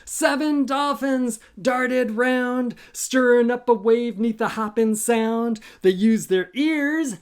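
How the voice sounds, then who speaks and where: A man who is a children's author reading a rhyming story aloud with an expressive, chanting, sing-song delivery. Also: very high voice, slow pace, hardly any pauses, clean tone. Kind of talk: expressive musical storytime narration in rhyme.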